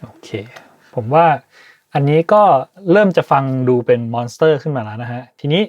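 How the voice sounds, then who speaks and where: A man hosting an informal software tutorial, speaking politely and hesitantly as he thinks aloud. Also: on the high side, animated, normal speed, no room sound, lo-fi sound. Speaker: tutorial host, sound designer.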